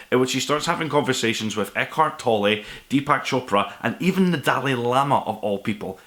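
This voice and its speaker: Man, bright forceful voice, book review host, earnest, emphatic, conversational delivery. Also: medium pitch. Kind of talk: enthusiastic spoken book review to camera.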